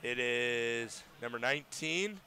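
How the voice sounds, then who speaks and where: Bright-voiced young play-by-play man. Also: medium pitch, takes it slowly. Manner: excitable, shouts big plays. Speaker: play-by-play announcer. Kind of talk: radio baseball play-by-play. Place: ballpark broadcast booth.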